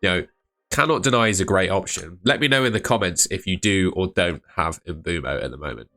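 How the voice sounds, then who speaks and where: A man's voice: low voice, fairly quick, bright, forceful tone. A man with a cold, hosting a fantasy football video in a chatty, thinking-aloud way. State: has a cold. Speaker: fantasy football channel host. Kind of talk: casual solo video commentary.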